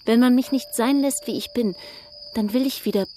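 Woman acting a headstrong, higher-voiced young sister. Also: moderate pace, mellow, a little hiss or hum, natural voice. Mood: angry, resentful. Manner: intense, blunt. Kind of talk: German radio drama acting.

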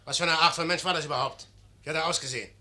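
Imperious young commander, impatient, curt, piercingly bright tense voice, 1950s radio drama. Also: male voice, a little high, rapid-fire. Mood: commanding. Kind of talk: 1950s German radio play acting.

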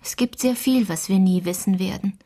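An actress as an earnest, breathy young girl. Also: mid-range voice, normal speed, mellow, natural voice. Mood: curious, thoughtful. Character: earnest, inquisitive adolescent girl. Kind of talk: German radio crime drama dialogue.

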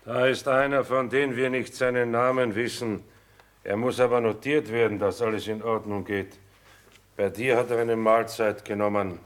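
Male actor as army sergeant, medium pitch, cold, officious, probing, commanding, radio drama. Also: steady tone, moderate pace, close to an everyday voice. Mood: coldly probing. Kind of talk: stage drama acting.

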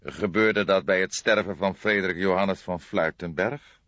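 A man's voice: low-pitched, steady tone, fairly bright tone, no room sound, highs cut off. A man voicing an old police detective in an audiobook, courteous and formal but insistent, with restrained impatience. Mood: probing. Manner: deliberate, formal, spelling things out carefully.

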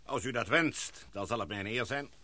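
Man acting composed, commanding older gentleman. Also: medium pitch, normal speed, heavy background noise, close to an everyday voice. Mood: composed, probing. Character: authoritative older titled gentleman taking command, courteous, probing. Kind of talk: Dutch radio play acting.

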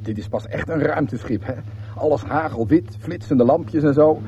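A casual male space hitchhiker , acted by a man, chats in a radio play, curious and a bit sceptical. His voice is soft-edged and mellow. The sound is dull, with light noise. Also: fairly high pitch, moderate pace, lightly acted. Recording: dull old radio recording, faint noise.

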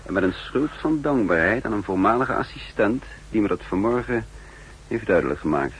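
An actor playing a cool, commanding spymaster and double agent in a 1960s Dutch radio drama, speaking in an assured, deliberate way. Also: male voice, lowish voice, lively intonation, slight background noise, poor audio. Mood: calm, confiding.

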